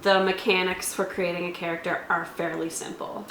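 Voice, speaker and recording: A woman co-hosting a casual game review, explaining things in a measured, conversational way as the game master. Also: lowish voice, level tone, a bit bright. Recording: echoey room, faint background noise.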